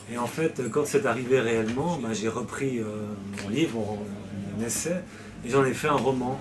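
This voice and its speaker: A Swiss man talking in an interview about a book he wrote. He speaks hesitantly, stopping to restart his sentences and filling gaps with fillers. His voice sits at an ordinary pitch, and a steady background noise stays clearly audible. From Switzerland. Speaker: novelist being interviewed. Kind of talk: casual interview answers.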